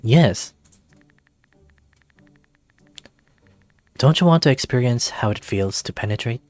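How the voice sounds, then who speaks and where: A man's voice: mid-range voice, expressive, a little fast, a little mellow, dry recording, natural voice. A man voicing a sly, self-assured male silver fox mentor in a visual novel, coaxing and matter-of-fact.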